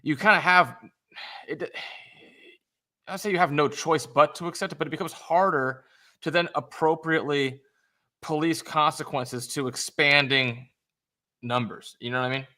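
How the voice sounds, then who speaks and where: A man's voice: a little high, normal speed, pauses often, bright, forceful tone. A man co-hosting a podcast in casual debate, speaking in wordy, academic phrases and hedging his points.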